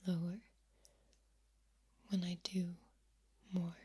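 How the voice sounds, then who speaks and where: Breathy, whispering woman, extremely slow. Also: fairly low pitch, fairly even intonation, a little mellow. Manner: deliberate, drawn-out delivery with repeated words. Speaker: ASMR host. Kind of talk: soft-spoken ASMR, mostly whispered. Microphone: close, sensitive microphone.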